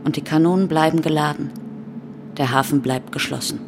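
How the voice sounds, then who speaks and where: A woman performing as a grave narrator in a radio play, with measured poetic phrasing and a breathy, half-whispered delivery. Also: sits low, fairly even intonation, noisy background. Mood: grave, intense. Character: female narrator chanting a grave tale of a plague ship. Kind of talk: literary radio drama narration, incantatory refrains.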